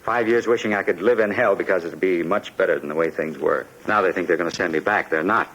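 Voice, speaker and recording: Low-voiced, desperate, haunted immigrant man. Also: fast, fairly bright tone. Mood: embittered, resolute. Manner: bitter, insistent, emotionally wrought. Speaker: actor. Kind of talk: 1950s radio drama acting. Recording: dull, noisy 1950s radio recording.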